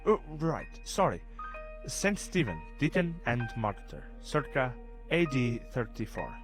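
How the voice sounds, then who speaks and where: Kindly, bookish saint, music behind. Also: male voice, mid-range voice, big pitch swings, a little slow. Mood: flustered. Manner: explanatory, gently pedantic. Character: young male saint, kindly, bookish, gently wry guide. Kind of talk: children's audio drama acting.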